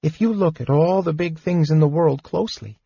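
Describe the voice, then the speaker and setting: A man doing a small, earnest kender with a raised, husky voice that hurries along and then settles into soberness. The sound is dull and lo-fi, as from an old audiobook. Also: fairly high pitch, warm tone, dry recording, voice pushed up. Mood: wistful. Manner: eager rushing speech turning sober. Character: small earnest male kender, wistful and brave.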